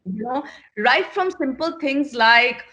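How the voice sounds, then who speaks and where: A woman's voice: high voice, animated, slow, bright, forceful tone. A middle-aged woman from Northeast India, a managing partner at an agency, answering interview questions in an earnest, direct way, often repeating words for emphasis.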